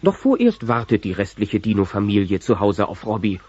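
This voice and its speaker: A man's voice: fairly low pitch, big pitch swings, a little fast, darkish tone, breathy edge. A man narrating a scripted audio drama on an old cassette recording. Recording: dull old cassette transfer.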